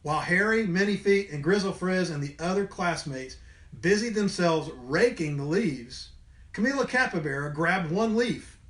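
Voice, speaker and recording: A man's voice: high voice, expressive, moderate pace, fairly bright tone. A man reading a children's story aloud expressively, like a teacher to young listeners. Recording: roomy indoor echo.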